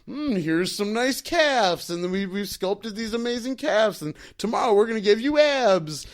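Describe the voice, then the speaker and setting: Higher-pitched man riffing casually. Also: expressive. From rural farming area. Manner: halting, repeats words as he riffs. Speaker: comedy podcast co-host. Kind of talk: casual comic banter.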